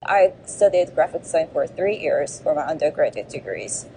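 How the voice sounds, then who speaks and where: Soft-voiced Korean-accented woman. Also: low-pitched, very animated, moderate pace, gravelly, slightly rough, low-quality recording. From South Korea. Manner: thoughtful, hesitant, many fillers. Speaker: graduating design student. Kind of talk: interview answers.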